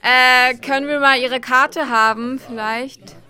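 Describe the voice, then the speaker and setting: A woman's voice: high voice, steady tone, slow pace, sharp tone, full character voice. An actress playing a pert, grumbling young woman with casual slangy talk in a naturalistic radio drama. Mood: pert, complaining. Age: young adult.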